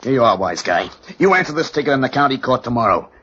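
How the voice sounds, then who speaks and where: Sceptical rural policeman, medium-pitched, gruff folksy radio drama delivery, clear background hiss. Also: big pitch swings, quick pace, bright, forceful tone. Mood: annoyed, sarcastic. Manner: folksy, sarcastic, uneducated speech. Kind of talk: old-time radio drama acting. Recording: muffled, noisy old radio recording.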